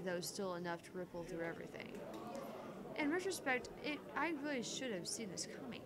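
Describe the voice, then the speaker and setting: Woman streamer over background voices. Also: mid-range voice. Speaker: co-host of a gaming livestream. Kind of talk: casual livestream chat, reading game text aloud.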